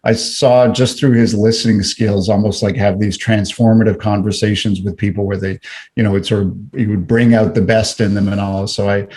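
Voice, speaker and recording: A man's voice: fairly low pitch, fairly even intonation, normal speed, mellow, slightly rough. A middle-aged man from Canada, a guest in an interview, talking reflectively with frequent fillers and restarts. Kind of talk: conversational interview.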